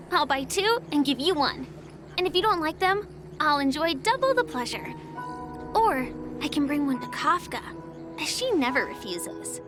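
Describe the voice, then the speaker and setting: Earnest young game heroine: high, soft, polite, quietly resolute, music behind. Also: female voice, exaggerated voice. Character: gentle, earnest young woman, quietly determined dreamer. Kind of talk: video game voice acting. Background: background music.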